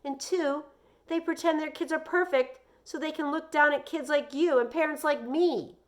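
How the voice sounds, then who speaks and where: An exasperated mom as a woman reading a novel aloud plays her, with a fairly high voice. She is weary and defensive, and scolds with bitter, emphatic stress. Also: steady tone, normal speed, lightly acted.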